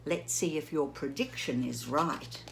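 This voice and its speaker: A woman teacher reading a picture book aloud to children, gently pausing to ask the listener questions. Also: low-pitched, gravelly, noisy background. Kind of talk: children's read-aloud lesson.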